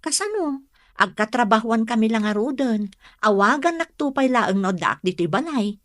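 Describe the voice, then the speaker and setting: A woman's voice: fairly high pitch, expressive, normal speed, no room sound, studio quality, close to an everyday voice. An actress playing a young office woman who is weary of her devoted boyfriend, in an Ilocano radio drama.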